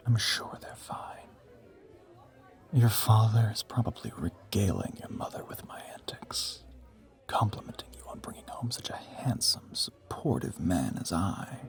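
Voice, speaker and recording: A low, half-whispered male voice for an ancient vampire played by a man in an intimate audio roleplay. He is suave and smug, choosing formal, theatrical words to tease his listener. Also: expressive, measured pace. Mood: amused, conspiratorial.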